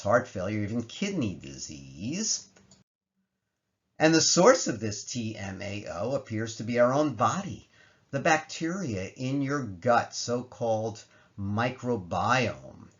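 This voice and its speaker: A man lectures over slides in a fairly low voice that swings widely in pitch. He explains research conversationally and with emphasis. The voice-over recording sounds somewhat dull and muffled. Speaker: presenter, lecturer.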